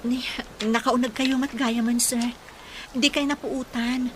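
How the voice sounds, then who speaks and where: A woman playing a gentle, protective caregiver in a radio drama, soothing and respectful in manner. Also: fairly high pitch, steady tone, measured pace, close to an everyday voice. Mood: concerned. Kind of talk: Ilocano radio drama acting. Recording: faint background noise.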